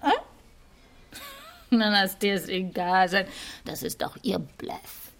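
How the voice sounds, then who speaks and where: An actress playing a nosy, mocking cocaine-addicted model in a radio drama, teasing in fragments with short laughs. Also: female voice, mid-range voice, slow pace. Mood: mocking, prying.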